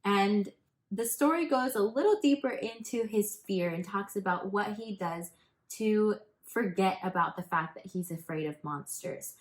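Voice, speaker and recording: A woman teacher reading a picture book aloud to children, expressively and with deliberate dramatic emphasis. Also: medium pitch, low-quality recording.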